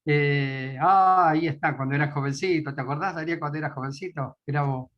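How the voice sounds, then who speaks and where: A man's voice: fairly high pitch, a little fast, dull audio. A man with an Argentine Spanish accent introducing a guest at a church meeting, hesitant, with many fillers. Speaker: meeting host.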